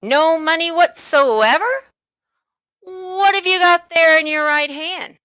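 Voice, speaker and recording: A woman's voice: extremely high pitch, takes it slowly, bright, forceful tone, dry recording, voice pushed up, exaggerated voice. A woman voicing a hearty, coaxing male candy storekeeper for a children's storybook, with an emphatic, theatrical salesman's delivery. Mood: nosy, eager. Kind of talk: children's storybook read-aloud.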